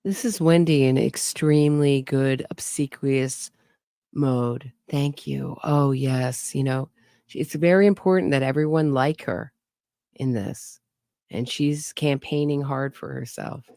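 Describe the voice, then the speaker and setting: A woman's voice: very deep voice, slow pace, dark tone, dry recording, studio quality. A woman giving skeptical, wry commentary on a true crime case and speaking straight to her audience. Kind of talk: casual commentary over interrogation clips.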